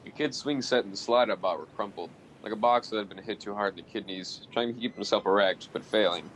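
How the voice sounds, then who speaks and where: A man's voice: a little fast, a bit bright, natural voice, rough recording. A man playing a weary, earnest, exasperated father in a scripted radio play. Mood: weary, regretful. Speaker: actor in a college radio play. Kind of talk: scripted radio drama acting. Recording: faint low rumbling noise.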